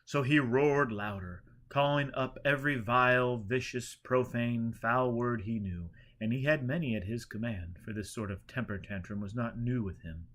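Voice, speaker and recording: A man narrates a written story in an expressive storyteller's manner. His pitch sits in the middle range for a man, and he reads as an audiobook narrator would, shaping each phrase. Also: normal speed, few pauses. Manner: expressive, acts out characters' dialogue. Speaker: audiobook reader.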